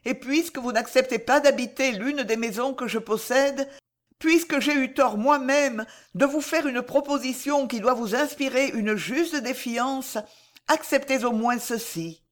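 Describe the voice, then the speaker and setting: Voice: high voice, unhurried, pitched above the performer's own voice. A woman voicing a guilt-ridden female spy in an audiobook novel, humble and imploring, with a strained, faltering delivery.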